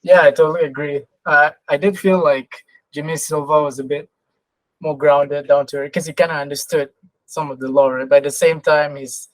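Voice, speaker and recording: A woman's voice: low-pitched, steady tone, normal speed, no room sound, low-quality recording. A woman hosts a podcast interview in a casual, conversational way. Her voice is recorded dry, with very little room sound, as she reacts and puts questions to guests. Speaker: podcast host and writer.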